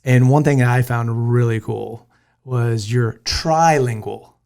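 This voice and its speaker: A man's voice: medium pitch, slow pace, creaky, a little mellow. A man from the United States hosting a podcast, chatting in a friendly, easygoing way and joking with his guest. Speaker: podcast host. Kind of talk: casual interview chat.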